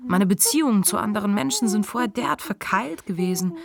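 A woman acting in a radio play as the lonely, questioning inner voice of a psychiatric patient, measured and literary. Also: medium pitch, lively intonation, creaky, a crowd in the background. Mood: reflective. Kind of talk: scripted radio play narration. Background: music.

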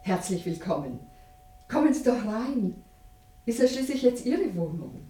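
An actress playing a polite, businesslike and slightly tart small-town secretary in a radio drama. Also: female voice, on the high side, big pitch swings, unhurried, dark tone, echoey room. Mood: polite, welcoming.

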